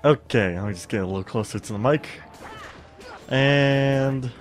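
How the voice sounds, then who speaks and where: A man's voice: mid-range voice, measured pace, faint music behind. Stuffy-sounding young man rambling over gameplay. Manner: rambling, distracted, lots of fillers, humming. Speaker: let's play host. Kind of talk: casual gameplay commentary. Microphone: studio mic.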